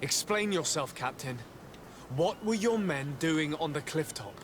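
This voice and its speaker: A man's voice: fairly high pitch, animated, unhurried, noisy background. An actor playing a formal, determined young nobleman and envoy in a video game cutscene, speaking with probing authority. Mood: suspicious, accusing.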